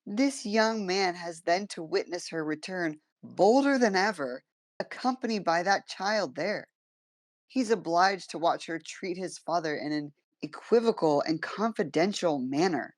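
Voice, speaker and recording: A woman's voice: on the low side, expressive, a little slow, no room sound, clean studio sound. A woman playing an aloof, resentful young adult son in a stage play, with a formal, disdainful manner. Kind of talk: theatrical stage-play reading.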